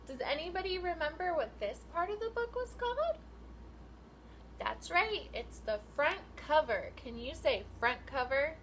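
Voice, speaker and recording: Lively high-voiced woman reading to young children. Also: a little hiss or hum. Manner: instructional, asks questions and prompts children to repeat. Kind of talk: picture book read-aloud for young children.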